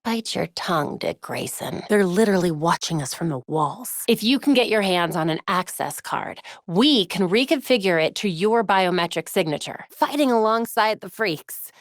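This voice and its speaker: A woman's voice: on the low side, animated, moderate pace, few pauses, no room sound. Young american vigilante girl, quippy and determined, video game lines, really dry recording. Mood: wry, focused. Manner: wry, quippy delivery. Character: young female vigilante heroine, sharp, wry, determined.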